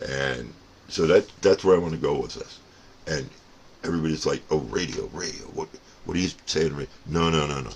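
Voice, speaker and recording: Casting: older male hobby vlogger, gravel-edged bottom-register voice, casual and digressive, repeats words for emphasis. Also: extremely low pitch, a little fast. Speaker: CB radio hobbyist giving advice. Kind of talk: casual rambling hobby vlog monologue. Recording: noticeable steady background noise.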